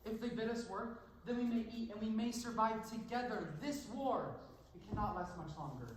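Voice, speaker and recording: A man's voice: sits high, normal speed, close to an everyday voice. Guy playing hopeful firm dad in a stage drama, far from mic, super echoey. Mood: insistent. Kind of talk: stage play acting. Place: stage in a large hall. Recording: echoey hall from far off, faint noise.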